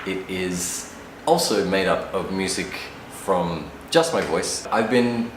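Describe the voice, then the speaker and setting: A low-voiced man from Australia talks through his travels in an easy, wry way. There is a roomy echo and a steady hum of background noise. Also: slow pace. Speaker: musician interviewee. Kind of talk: casual storytelling to camera.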